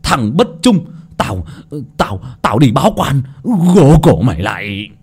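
A man voicing a greedy, pompous old rich village elder with a gruff, blustering way of talking. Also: mid-range voice, very animated, unhurried, a little mellow, heavily acted.

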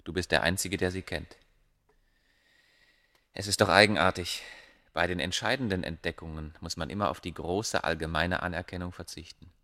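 An ambitious scholar of the ancient world in a radio drama. His voice is very low, and his delivery is measured and brooding, with formal diction and a proud, inward temper. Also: steady tone, sharp tone. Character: proud, secretive male scholar of ancient Egypt, ambitious, curious. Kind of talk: radio play acting.

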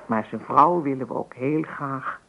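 An actor playing a kindly older father-in-law in a naturalistic radio drama, talking in a gentle, confiding way. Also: mid-range voice, unhurried, gravelly. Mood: tender, confiding. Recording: old radio play, phone-like sound, noticeable noise.

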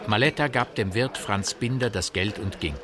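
A man's voice: on the low side, moderate pace, music in the background. A man narrating a radio drama in scripted literary prose.

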